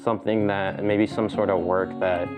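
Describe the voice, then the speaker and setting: A man with a low, flat voice shares his thoughts in a casual faith conversation. He speaks in halting bits, pausing often with "uh". Plucked guitar music plays clearly underneath. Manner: halting, full of pauses and "uh".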